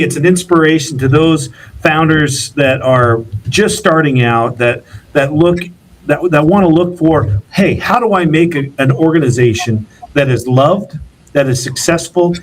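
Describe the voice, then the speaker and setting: Man, podcast host; warm praise, lots of restarts. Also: on the high side, moderate pace, rough recording. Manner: enthusiastic, many restarts and fillers. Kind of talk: casual interview, scripted outro.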